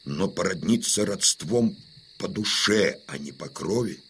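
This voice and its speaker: A man's voice: sits low, expressive, a little slow, a bit bright, a little hiss or hum. An actor playing an older Cossack chieftain in a Russian radio drama, rousing his warriors with solemn, declamatory oratory. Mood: grave, heartfelt.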